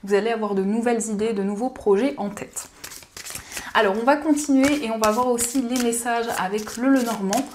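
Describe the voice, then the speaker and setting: A woman giving a gentle, soothing daily card reading, slightly hoarse from a cold. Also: a little high, a little fast, a little hiss or hum. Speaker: card reader.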